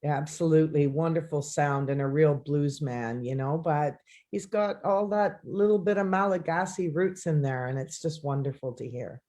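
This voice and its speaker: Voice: medium pitch, moderate pace, a little mellow. A co-host in a casual live-streamed chat who explains things with enthusiasm and laughs easily.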